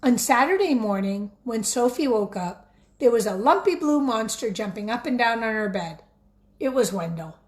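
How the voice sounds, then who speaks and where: A woman teacher reading a picture book aloud expressively, pausing to put questions directly to young listeners. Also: on the high side. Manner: expressive, addresses children directly.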